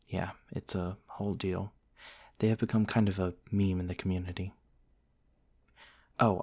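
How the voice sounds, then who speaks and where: Man playing a very deep-voiced, courteous gentleman vampire. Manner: formal, polite, slightly hesitant phrasing. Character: courteous, gentle, old-fashioned male vampire host of a manor. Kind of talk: ASMR roleplay, soft-mannered dramatic monologue to the listener.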